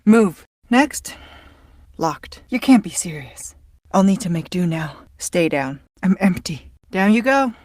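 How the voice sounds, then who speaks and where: Cool, sardonic action-game agent, dry sound. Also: female voice, lowish voice, expressive, slow pace, darkish tone, no room sound. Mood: terse, urgent. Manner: clipped, dry quips. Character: cool, sardonic female secret agent in combat. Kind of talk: video game voice acting.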